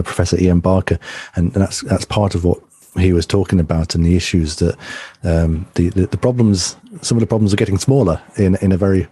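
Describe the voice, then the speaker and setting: Male host, low flat voice, husky, dark tone, rambling questions. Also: no room sound. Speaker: podcast host. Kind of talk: interviewing.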